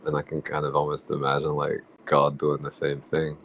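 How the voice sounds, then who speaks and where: A man in a casual, reflective group discussion, musing tentatively and haltingly as he works through his thoughts. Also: very deep voice, completely flat delivery, vocal fry, fairly bright tone. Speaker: book club discussion participant.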